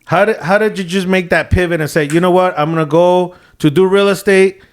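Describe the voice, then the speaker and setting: A man in his thirties hosting a podcast, energetic and affirming, dropping Spanish phrases into his English. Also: sits high, brisk. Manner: hyped, affirming, mixes in Spanish. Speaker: podcast host. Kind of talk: casual podcast interview.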